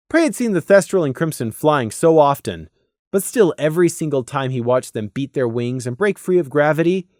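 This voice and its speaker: Expressive, dry-recorded male storyteller. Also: medium pitch, dry recording. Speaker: storyteller, audiobook narrator. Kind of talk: scripted narration of a fantasy story.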